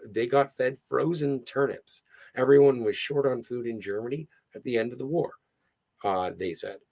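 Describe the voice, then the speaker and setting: Hoarse, gravelly man chatting on a livestream. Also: mid-range voice, a bit bright. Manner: rambling, digressive, easily sidetracked. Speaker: livestream host. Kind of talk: casual livestream chat, reading out viewer comments.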